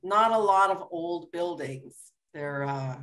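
A woman's voice: lowish voice, animated, slow pace, fairly bright tone. A middle-aged woman from Toronto interviewing a guest over a video call, asking short friendly questions. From Toronto, Canada. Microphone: webcam on video call.

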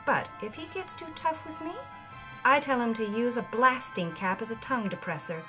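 A woman's voice: fairly high pitch, lively intonation, normal speed, over music, close to an everyday voice, poor audio. A woman playing a brash thirty-year-old 1950s B-movie actress, narrating her journal in a wry, breezy way. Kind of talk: scripted narration.

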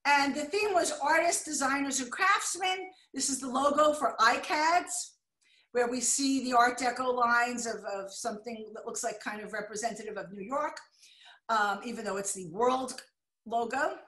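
An older American woman giving a chatty, excitable slideshow lecture on a video call, now and then apologizing when the slides skip. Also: on the high side, moderate pace. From United States. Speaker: art deco lecturer. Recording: echoey room.

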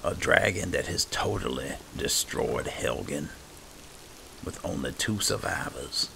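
A man's voice: a bass voice, expressive, measured pace, pushed and bright, rough recording. A man doing improvised in-character roleplay commentary over a video game, muttering as he talks to himself. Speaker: gaming channel host. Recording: clear background game noise.

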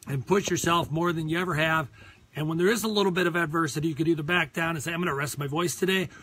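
A hoarse man in his forties with a Minnesotan accent talks into a phone he holds at arm's length, outdoors on a lakeside deck. His voice sits a little high for a man. He rambles earnestly, a real estate agent cheering his viewers on. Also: fairly bright tone. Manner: rambling, earnest pep talk. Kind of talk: live property tour vlog. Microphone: phone held at arm's length.

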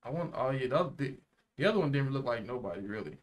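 A man's voice: mid-range voice, lively intonation, racing. A young American man chatting casually and in slang as co-host of a reaction video. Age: young adult. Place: small indoor room.

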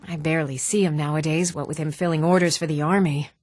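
An actress playing an adult townswoman in a fantasy role-playing game, speaking in an even, measured, clearly enunciated way. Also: low voice, no room sound, studio quality. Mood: gossipy. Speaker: video game voice actor. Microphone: close, dry studio microphone.

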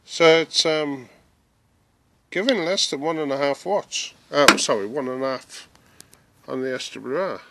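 A middle-aged man chatting casually and haltingly as he demonstrates gear, thinking aloud. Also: medium pitch, creaky, bright, forceful tone. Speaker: hobbyist presenter demonstrating radio gear. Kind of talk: casual hands-on demonstration chat. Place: small room at home and back garden. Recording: noisy amateur camera audio.

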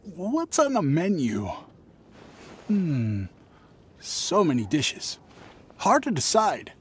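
An actor playing a nervous, jumpy young traveling merchant in a video game, hesitant and flustered, prone to stammering. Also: male voice, fairly high pitch, wildly swooping pitch, slow, slight background noise, full character voice. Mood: musing, awkward. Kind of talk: video game character acting.

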